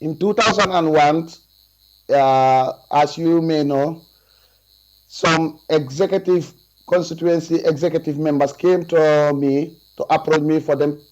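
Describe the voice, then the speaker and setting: A man in his forties with a Gambian accent, giving deliberate, formal answers as a witness over a video call from a room. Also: fairly high pitch, steady tone, a little mellow. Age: 40s. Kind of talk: testimony over video link. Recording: muffled video call audio with mains hum.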